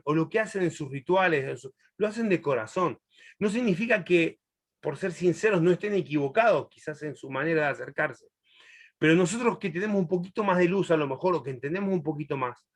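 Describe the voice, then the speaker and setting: A middle-aged man from Chile, raised in Argentina, teaching a Bible class in a chatty, laughing way. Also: a little high. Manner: warm, chatty, laughs often, long pauses. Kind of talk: answering a student in a live class.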